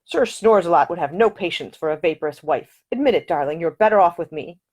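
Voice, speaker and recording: A woman voicing a charming young gentleman who talks in a playful, gallant, gently teasing way. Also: lowish voice, lively intonation, fast talker.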